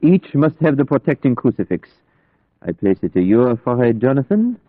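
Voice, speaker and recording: An actor playing a devout, learned vampire hunter in an old radio drama, speaking formally and deliberately with foreign-sounding word order. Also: male voice, medium pitch, sing-song, no echo. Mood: grave. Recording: muffled, band-limited vintage radio recording.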